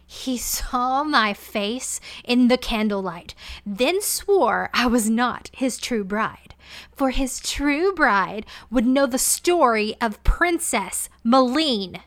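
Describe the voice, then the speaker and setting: A woman voicing a cruel, seething evil queen who speaks slowly and menacingly. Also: a little high, fairly even intonation, a little mellow, no echo, lightly acted. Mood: furious. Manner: slow, menacing, snarling.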